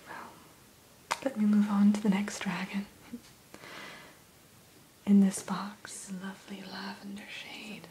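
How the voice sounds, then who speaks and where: A woman speaks entirely in a soft, unvoiced whisper, close to the microphone, for an ASMR roleplay. She sits at a middle pitch and talks gently and slowly as a dragon hatchery keeper, guiding the listener through each dragon. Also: flat delivery, a little hiss or hum, rough recording. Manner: gentle, storytelling tone addressing the listener.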